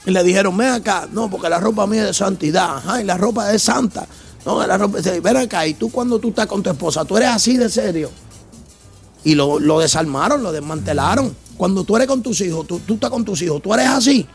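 Gravelly high-voiced man with music behind. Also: lively intonation, a little fast. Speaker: co-host of a church program. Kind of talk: casual chat on faith.

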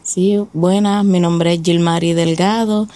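A woman's voice: on the low side, steady tone, a little slow, dark tone, dry recording. A woman with a Puerto Rican accent telling her life story as a guest, in a plain, hesitant way. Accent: Puerto Rican Spanish. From Puerto Rico. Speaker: interview guest. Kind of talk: personal testimony.

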